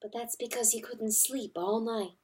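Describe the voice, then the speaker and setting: A woman voicing a gentle motherly hen who soothes frightened mice in a murmur. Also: on the high side, steady tone, moderate pace, recorded from a distance, lightly acted. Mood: soothing.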